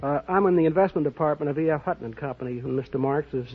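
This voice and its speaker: A man's voice: a little high, fast, husky. A man answering a quiz host politely as a contestant on an old radio show. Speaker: quiz contestant, investment broker. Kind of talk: answering a host's questions. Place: radio studio before an audience. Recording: dull old radio recording.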